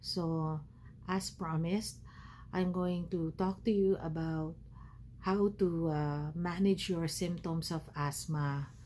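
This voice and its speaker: A woman from the Philippines with a Filipino accent, talking casually to camera at home while recovering from asthma, pausing often with fillers. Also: on the low side, fairly even intonation, dark tone, a little hiss or hum. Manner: hesitant, many fillers. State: recovering from asthma, feeling cold. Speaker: vlogger. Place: at home, wrapped in a blanket.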